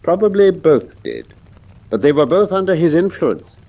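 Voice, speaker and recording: A man acting in a British radio drama as a confident, incisive gentleman detective, with a British accent and precise, theatrical delivery. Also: a little high, big pitch swings, moderate pace. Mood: assured, explanatory. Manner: precise, theatrical, self-assured.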